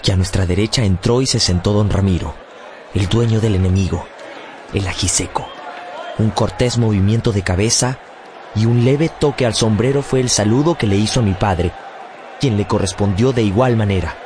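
An actor narrating a radio drama as a man solemnly recounting a boyhood memory in measured, literary phrasing. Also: on the low side, noisy background, natural voice. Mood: solemn, suspenseful. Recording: loud music bed behind studio voice.

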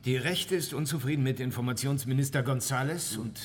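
A man's voice: medium pitch, fairly quick, lightly acted. An actor playing a male government insider in radio drama dialogue. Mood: concerned. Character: male government insider confiding about a political crisis.

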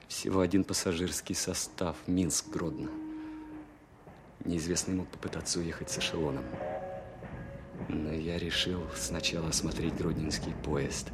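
An actor playing a young, obedient Soviet soldier who narrates a night pursuit in a tense, confiding, hesitant manner in a war radio play. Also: male voice, extremely low pitch, fairly even intonation, unhurried, noisy background. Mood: tense, watchful. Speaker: first-person narrator. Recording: music bed under radio play. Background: loud music.

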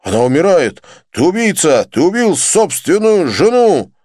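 A male narrator voicing an angry young man who loudly condemns a drunk as a murderer, in a dry, close recording. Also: on the high side, very animated, normal speed, dry recording, voice pushed up. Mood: condemning. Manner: loud, condemning.

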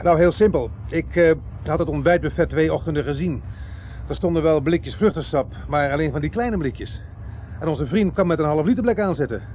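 A man's voice: on the high side, brisk, darkish tone, slight background noise, natural voice. An actor playing a modest, practical male police inspector with a matter-of-fact manner in a 1980s Dutch radio drama.

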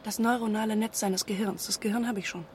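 A woman's voice: medium pitch, fairly even intonation, fast talker, a little mellow, a little hiss or hum, natural voice. An actress playing a laconic woman scientist in a radio drama, cool, dryly teasing and probing. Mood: dry, wary. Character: cool, dryly teasing female neural researcher. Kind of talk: naturalistic radio drama dialogue.

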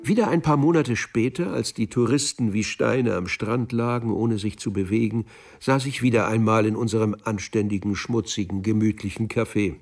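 A man narrating a literary radio play in the first person, with a deliberate, dry storytelling manner. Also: fairly low pitch, creaky, a little mellow, distant mic, faint music behind.

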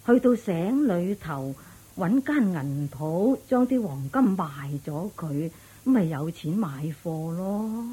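A practical widowed mother who frets and scolds in a Buddhist radio drama. Her Hong Kong Cantonese is slow and dark, with a husky edge. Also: fairly low pitch, animated, full character voice. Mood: practical, firm. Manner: sighing, fretful, scolding at interruptions. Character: anxious, practical widowed mother of two grown sons.

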